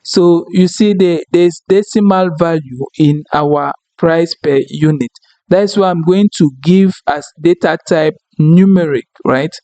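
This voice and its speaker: A man whose first language is French, walking viewers through a software tutorial and checking in often with "okay?" and "right?". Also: on the high side, unhurried, no room sound. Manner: explanatory, frequent "okay?" and "right?" check-ins. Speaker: tutorial instructor. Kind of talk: screen-recorded software tutorial.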